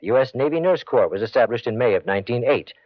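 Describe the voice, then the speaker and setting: A man's voice: medium pitch, expressive, fast talker, dry recording. A man reading a scripted radio public-service spot as an announcer. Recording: dry, muffled old radio spot.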